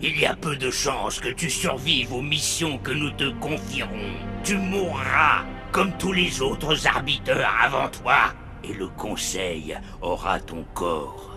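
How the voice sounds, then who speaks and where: A man's voice: medium pitch, slow, few pauses, over music, natural voice. A man playing a stern, haughty alien prophet in a video game, with a declamatory, disdainful delivery. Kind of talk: dramatic video game cutscene acting.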